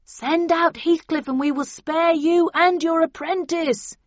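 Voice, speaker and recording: A woman voicing a menacing militant attacker who calls loudly and slowly for surrender, as in an audiobook. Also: extremely high pitch, steady tone, no echo, dull audio, pitched above the performer's own voice. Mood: threatening. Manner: loud, drawn-out calling.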